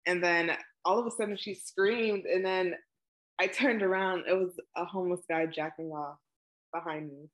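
A woman, answering questions in a relaxed oral history interview over a video call, with plenty of ums and likes. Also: lowish voice, normal speed. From Brooklyn, New York. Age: 20s.

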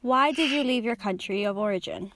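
A woman's voice: a little high, fairly quick, very noisy. A woman conducting an oral history interview over a remote call, asking short plain questions. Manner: short plain questions, hesitant follow-ups. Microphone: remote video call.

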